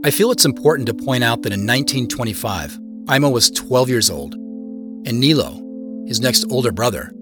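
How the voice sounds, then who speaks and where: A man's voice: medium pitch, animated, normal speed, music in the background, natural voice. An actor playing a solitary, private man who narrates his own story in an understated, conversational, matter-of-fact way. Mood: reflective. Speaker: narrator of an audio drama. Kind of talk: first-person scripted narration.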